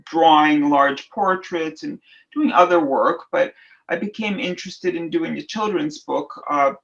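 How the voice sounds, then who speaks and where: A middle-aged woman artist answering interview questions thoughtfully, pausing often and searching for words. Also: low-pitched, gravelly, fairly bright tone, muffled recording, faint music behind. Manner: thoughtful, searching for words with many fillers and restarts. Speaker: artist and illustrator guest.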